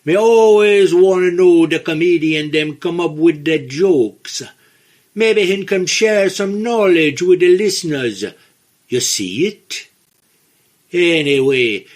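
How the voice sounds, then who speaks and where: A man voicing a laid-back male Jamaican caller, leaving a voicemail in a slow, easygoing patois-style drawl. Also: high voice. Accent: put-on Jamaican.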